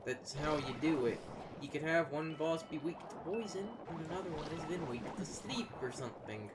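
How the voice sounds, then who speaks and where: A man's voice: on the high side, expressive, moderate pace. A man giving casual, opinionated gaming commentary, sometimes hesitating mid-thought as he makes his point. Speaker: host of a let's play series. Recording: clear background noise.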